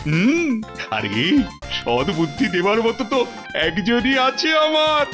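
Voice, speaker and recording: A man playing a silly, stubborn, ageing king in a kids' cartoon, theatrical and repeating his words in excitement. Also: high-pitched, takes it slowly, over music, full character voice. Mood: stubborn, cheerful. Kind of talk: Bengali kids' cartoon voice acting.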